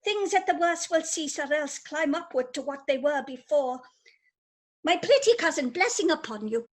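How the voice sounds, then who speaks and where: Very high-voiced consoling noble cousin, played by a woman. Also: animated, normal speed. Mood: consoling, worried. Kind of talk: amateur Shakespearean radio play.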